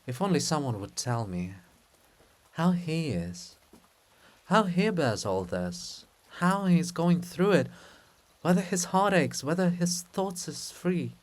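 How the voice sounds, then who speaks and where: A man's voice: on the high side, sing-song, slow, pitched above the performer's own voice. A man voicing the monument of a proud, lovesick princess in a theatrical, pleading manner. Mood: hushed longing.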